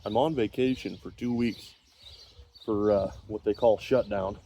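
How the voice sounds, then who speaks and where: A man's voice: lowish voice, fairly even intonation, measured pace, dark tone, slight background noise. A man chatting in a rambling, folksy way while he works on an old engine, sounding congested. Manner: rambling, folksy, self-deprecating. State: congested, clearing throat. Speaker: small engine repair vlogger. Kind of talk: casual talk while working.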